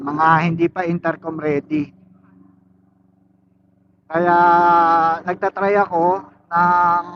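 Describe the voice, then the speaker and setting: A man's voice: high-pitched, muffled recording, slight background noise. A man giving a casual how-to tutorial as a vlogger, pointing things out step by step with short interjections. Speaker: DIY vlogger.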